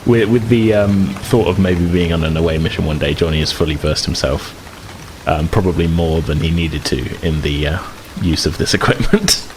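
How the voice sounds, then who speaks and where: Man, low pitch, game master, improvised narration, halting repetitions, soft rain noise in background. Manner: halting, repeats words while thinking. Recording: faint rain ambience noise.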